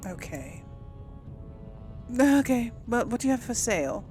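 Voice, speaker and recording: A woman's voice: a little high, big pitch swings, measured pace, a little mellow, faint music behind. A woman giving casual let's play commentary, chatting to viewers and rambling as she thinks aloud. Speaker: gaming video host.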